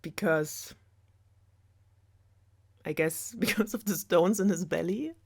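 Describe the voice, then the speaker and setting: A woman's voice: on the low side, takes it slowly, gravelly, breathy edge. A woman casually retelling a story in a sarcastic, rambling, giggly way as a storytelling host. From Germany. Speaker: storyteller host of a web series.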